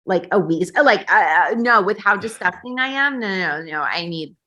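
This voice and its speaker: A woman's voice: medium pitch, lively intonation, normal speed, pushed and bright. A woman guest on a podcast, chatting casually in a rambling way, filling her talk with "like". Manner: rambling, heavy use of "like". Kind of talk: casual chat.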